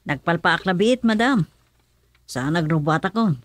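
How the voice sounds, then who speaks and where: A woman's voice: on the low side, lively intonation, unhurried, no room sound. A woman with a Filipino accent acting a dutiful, deferential driver in a radio drama.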